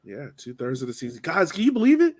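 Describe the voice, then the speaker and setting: A man's voice: a little high, huge pitch swings, fast. A man hosting a casual sports podcast, chatting informally and speaking straight to his co-hosts. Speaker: podcast host.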